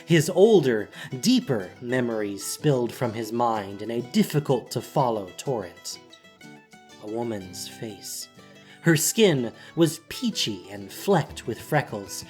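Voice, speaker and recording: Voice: mid-range voice, sing-song, takes it slowly, faint music behind. A narrator reading a scripted, dramatic story for an audio drama.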